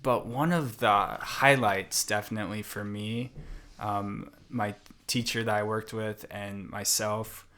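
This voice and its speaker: A young man talks as a podcast guest in a low-pitched voice. He speaks very slowly and thinks aloud, with plenty of fillers and restarts. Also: fairly even intonation, fairly bright tone, slight background noise. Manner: thoughtful, unhurried, many fillers and restarts. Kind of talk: casual interview conversation.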